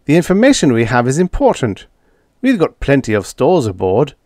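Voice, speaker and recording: A man voicing a gaunt, tired starship captain giving a brisk, plain account. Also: mid-range voice, wildly swooping pitch, darkish tone, no echo, studio quality, voice pushed up. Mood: tense. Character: gaunt, weary starship captain, steady and determined.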